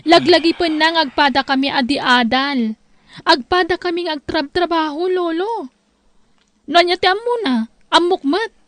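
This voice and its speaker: A woman's voice: extremely high pitch, normal speed, fairly bright tone, no echo. A woman playing a hurt, proud young wife with an Ilocano accent in a family radio drama, protesting as she speaks. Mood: aggrieved. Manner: aggrieved, protesting.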